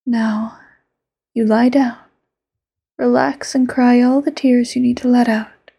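A woman's voice: on the high side, steady tone, takes it slowly, heavily acted. A woman voicing an obsessive, possessive immortal lover in a whispered audio roleplay, sweetly menacing. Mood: doting. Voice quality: soft breathy whisper. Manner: intimate, sweetly menacing, sudden flares.

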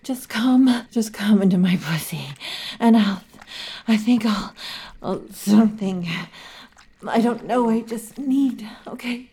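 A woman's voice: on the high side, takes it slowly, warm tone, breathy edge, exaggerated voice. A woman voicing a possessive, needy female werewolf lover in a whispered, intimate audio roleplay, murmuring and often whispering.

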